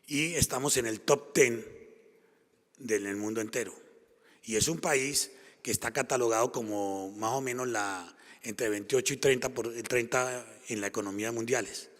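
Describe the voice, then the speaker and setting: A man's voice: medium pitch, moderate pace. A man from Colombia with a Colombian accent, giving a conference talk and driving his points home with repeated phrases. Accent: Colombian Spanish. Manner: emphatic, repetitive rhetorical build-ups. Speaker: conference speaker. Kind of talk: public lecture. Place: conference hall. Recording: long hall reverb.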